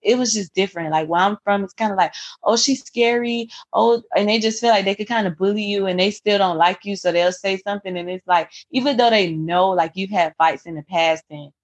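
A woman's voice: medium pitch, brisk, dull audio. A young adult woman, a guest author, tells her life story in a podcast interview, earnest and reflective, often restarting her sentences. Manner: earnest, reflective, many restarts and "you know".

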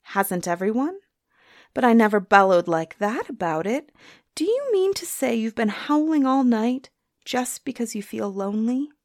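A woman's voice: a little high, sing-song, no echo, studio quality, voice pushed up. A woman playing a bold, forthright village girl. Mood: brisk. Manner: brisk, scolding, forthright.

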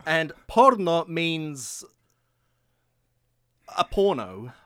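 A young man co-hosting a podcast, teasing with dry deadpan humor. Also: on the high side, extremely slow, no room sound. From Poland. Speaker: podcast co-host. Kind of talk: casual comedic banter.

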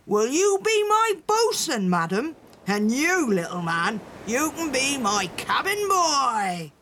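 A woman puts on a hearty storybook sea captain. The delivery is bossy and commanding, pitched fairly high with big swoops, over faint noise. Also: sing-song, takes it slowly, a bit bright, exaggerated voice. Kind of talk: children's storybook character voice.

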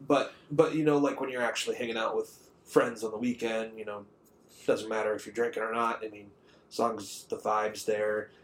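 A man's voice: fairly low pitch, little pitch movement, normal speed. A man of about thirty co-hosting a podcast in a rambling, conversational way. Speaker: podcast co-host. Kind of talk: casual chat.

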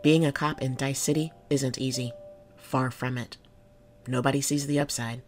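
A woman's voice: rumbling low, fairly even intonation, normal speed, faint music behind. A woman playing a jaded but kind-hearted city cop in an audio drama, plain-spoken and reassuring. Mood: weary, reassuring.

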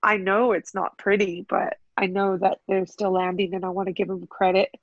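A woman from the United States, a fight coach, talking casually in an interview with a very loud, carrying voice and animated bursts of cheering. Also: medium pitch, normal speed, no room sound, lo-fi sound. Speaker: Muay Thai coach.

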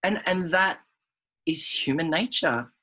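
A man, a stage performer, talking as a guest in a casual interview, thoughtful and halting with restarts. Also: a little high, lively intonation, bright, forceful tone.

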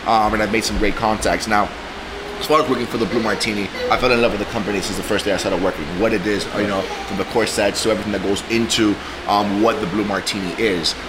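Fast, low-voiced man in noisy room. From Cuba. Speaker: guest, bar general manager. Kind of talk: casual podcast interview.

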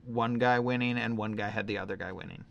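A man's voice: lowish voice, quick pace, creaky, a bit bright, muffled recording. A man co-hosting a podcast, giving matter-of-fact opinions in a casual discussion of film. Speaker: podcast co-host.

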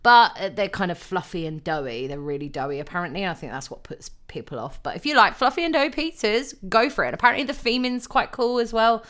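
A woman's voice: medium pitch, lively intonation, fast, hardly any pauses, a bit bright. A young woman co-hosting a podcast, chatting casually with many ums and frequent laughs. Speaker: podcast co-host. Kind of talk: casual chat.

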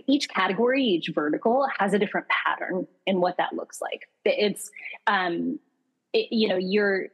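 A woman's voice: fairly low pitch, slightly rough, poor audio. A woman guest on an interview podcast, explaining her work in an articulate, thoughtful way. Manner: articulate and explanatory, thinks aloud with fillers. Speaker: podcast guest, customer experience expert.

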